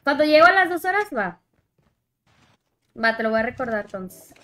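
A woman's voice: high-pitched, very animated, moderate pace, bright, forceful tone. A young woman with a Mexican Spanish accent chats casually and slangily as she streams a game, often trailing off mid-thought. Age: young adult. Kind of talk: casual livestream chat while gaming. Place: indoor room, alone. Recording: game vehicle and helicopter noise behind. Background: mobile shooter game sounds, helicopter.

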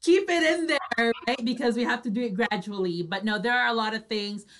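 Woman, high bright voice, chatty co-host, English mixed with Tagalog. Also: a little fast. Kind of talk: casual chat. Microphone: video call.

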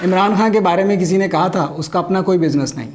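Male satirist, middle-aged, Pakistani accent, high-pitched voice, scripted comedy monologue, clear background noise. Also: fast, a loud crowd. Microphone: lapel mic.

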